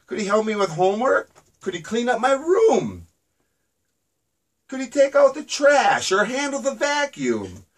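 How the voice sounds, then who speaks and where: A man's voice: very high-pitched, sing-song, distant mic, pitched above the performer's own voice, full character voice. A man voicing a curious little boy full of eager questions in a storybook. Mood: amazed. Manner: rushing wondering questions.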